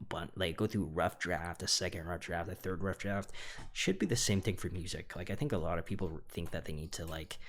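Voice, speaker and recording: A young man casually walking through how he produced his own song, rambling and relaxed with lots of fillers and hedges. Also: deep voice. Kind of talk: casual studio walkthrough. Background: faint music.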